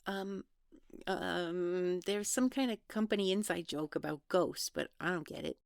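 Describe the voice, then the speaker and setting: A woman plays a young woman in an audio drama, casual but defensive and easily flustered. Her voice is on the low side with a good deal of fry, recorded close and dry. She talks haltingly, with an exasperated edge. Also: no echo. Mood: amused, puzzled.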